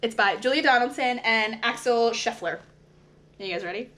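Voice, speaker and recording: A woman reading a rhyming children's storybook aloud as a preschool teacher, with dramatic, expressive, singsong delivery. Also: a little high, moderate pace.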